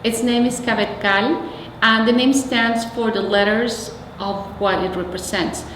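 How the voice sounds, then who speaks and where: A woman's voice: fairly high pitch, steady tone, a little slow, fairly bright tone. A woman from Mexico, a native Spanish speaker with a Mexican accent, explaining things as the guest in an oral history interview. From Mexico City, Mexico. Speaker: interviewee, arts nonprofit representative. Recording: echoey reverberant room, faint noise.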